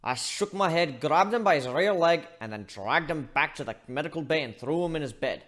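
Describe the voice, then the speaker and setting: Gruff pirate shipbuilder played by a man, grumbling and rough-spoken, very lively pitch, for a dramatic fan fiction reading. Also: fairly quick. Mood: exasperated. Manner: grumbling, rough colloquial speech.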